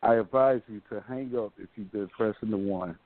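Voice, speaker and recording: Low-voiced American man, phone-like sound. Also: moderate pace. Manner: rambling, colloquial storytelling. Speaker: radio show host. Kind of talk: casual call-in radio discussion.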